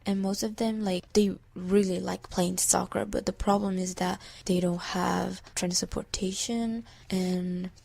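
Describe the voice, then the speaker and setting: A woman's voice: lowish voice, level tone, measured pace, creaky, hardly any pauses, a little mellow, no room sound. A teenage girl from Thailand, a student soccer player answering informally in an interview.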